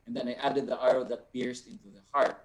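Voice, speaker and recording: A man with a Filipino accent speaking as an art teacher, explaining ideas off the cuff in deliberate phrases with frequent tag questions. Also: medium pitch, level tone, low-quality recording. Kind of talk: unscripted teaching, answering participants' questions.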